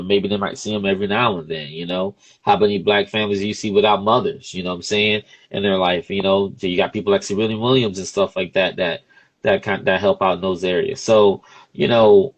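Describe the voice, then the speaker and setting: Male, early 30s, deep voice. Podcast host in relaxed interview conversation, informal phrasing with frequent conversational check-ins. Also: dull audio. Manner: informal, peppered with "you know what I'm saying". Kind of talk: casual conversational interview talk.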